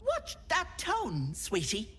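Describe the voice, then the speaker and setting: A slow, theatrical old hag who swoops up and down as she coaxes and scolds like a bossy auntie. She sounds sugary and sly, a fully acted fantasy game villain. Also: a little high, wildly swooping pitch, fairly bright tone, husky, exaggerated voice. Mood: sly coaxing. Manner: cloyingly sweet pet names turning to scolding threats. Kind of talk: theatrical video game character acting.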